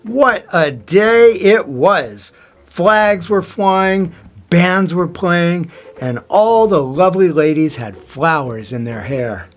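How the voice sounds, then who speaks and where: A man's voice: high voice, expressive, takes it slowly, soft background music. An older man reading a children's picture book aloud, with dramatic emphasis on key words. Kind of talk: scripted storybook read-aloud.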